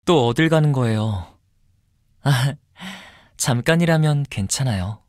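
Man voicing a slow, soft-spoken, devoted young man. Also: medium pitch, lively intonation, dry recording, clean studio sound, lightly acted. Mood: tender, confident. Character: polite, fastidious young man, sweetly devoted and possessive. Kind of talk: mobile game character voice lines.

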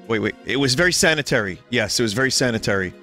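Slightly hoarse young man, relaxed rambling stream chat, mid-pitched voice, faint music behind. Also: quick pace. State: a little hoarse. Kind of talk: casual chat to stream viewers. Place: home room.

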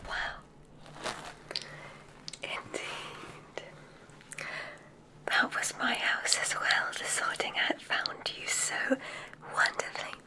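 A woman playing a kindly witch examiner at a magic school in a whispered ASMR roleplay, gentle and encouraging. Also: slow, pauses often, natural voice, low-quality recording. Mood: soothing, pleased.

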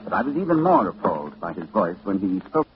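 An actor playing a scholarly librarian who narrates in a 1940s radio drama, halting and hesitant. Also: male voice, low-pitched, very animated, background noise. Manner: hesitant, halting when reading. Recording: dull old radio broadcast with music.

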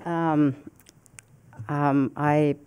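Older woman, native Cree speaker, panel talk, smooth clear voice, many pauses and restarts. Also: low-pitched, flat delivery, a little mellow. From northern Saskatchewan, Canada. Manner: halting, many fillers and restarts. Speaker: panelist, political scientist. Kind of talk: panel discussion remarks. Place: conference stage. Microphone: desk microphone.

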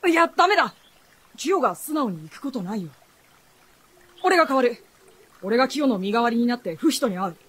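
Earnest, high-voiced, animated teenage boy. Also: fairly quick, long pauses, husky. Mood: urgent protest. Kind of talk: radio drama acting.

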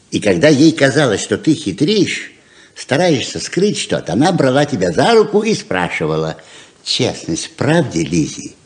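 An actor playing a stubborn, loving middle-aged father in a 1970s Soviet radio play, emphatic and persuasive, moving between tenderness and insistence. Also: on the low side. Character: coaxing, stubborn, loving father of grown children. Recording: roomy 1970s radio drama recording.

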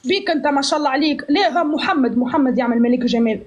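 A woman's voice: high voice, very fast, muffled recording. A woman in her twenties hosting a live stream from a phone, chatting and teasing guests in a playful, mock-pious way. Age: 20s. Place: small room indoors. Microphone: phone at arm's length.

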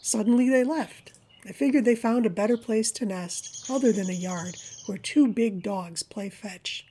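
A woman reading a scripted voice-over about nature in a gentle, measured storytelling manner. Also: medium pitch, slightly rough. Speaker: presenter of a backyard birding video for a library.

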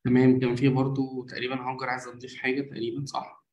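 An Egyptian man leads a live marketing coaching session and rushes through his explanation at great speed. He walks through each step by asking himself questions and answering them. His voice bounces around a noticeably echoey room. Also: mid-range voice, monotone, darkish tone, lo-fi sound. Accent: Egyptian Arabic. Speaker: marketing mentor.

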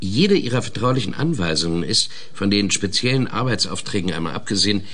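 A man's voice: fairly low pitch, expressive, brisk, fairly bright tone. A man playing a methodical investigator in a German radio play, hesitant at first, then explaining pedantically. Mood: pedantic, eager. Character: methodical male investigator, specialist in traps.

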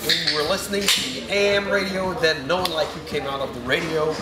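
A man from Canada, a fan, telling a short memory to the camera in an unhurried, fond way from an indoor room, filmed from a distance. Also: on the high side, steady tone, very noisy. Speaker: fan being interviewed. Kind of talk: casual reminiscing to camera. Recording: echoey room with loud music over it.

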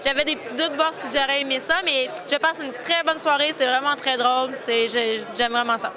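A woman's voice: high voice, fairly even intonation, a little fast. A young woman, an audience member chatting enthusiastically in an interview in a busy theatre lobby. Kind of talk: casual interview. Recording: loud crowd chatter behind.

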